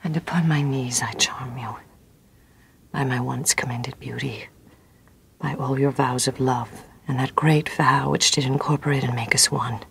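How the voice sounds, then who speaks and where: A woman's voice: extremely low pitch, fairly even intonation, unhurried, creaky, noisy background, exaggerated voice. An actress playing a devoted Roman noblewoman pleading with her husband in a classical radio drama, with urgent verse delivery.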